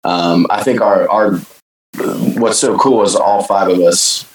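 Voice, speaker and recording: A man in a band answering interview questions, easygoing and enthusiastic, talking in quick bursts. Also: on the low side, roomy sound, distant mic, low-quality recording. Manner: easygoing, enthusiastic, fast talker. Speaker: band member, guest.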